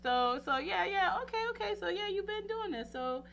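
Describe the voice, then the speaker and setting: A woman hosting a casual talk show interview in a playful, animated way, with lots of slang and filler phrases like "you know". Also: very high voice, normal speed, creaky, slight background noise. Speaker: radio talk show host.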